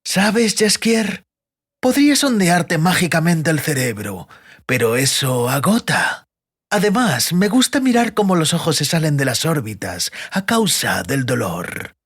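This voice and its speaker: A man performs a sadistic male interrogator from a fantasy audiobook. He speaks slowly and deliberately, with lively rises and dips. The manner is coldly menacing, sneering and mocking. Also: on the high side, slightly rough, exaggerated voice. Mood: mocking menace.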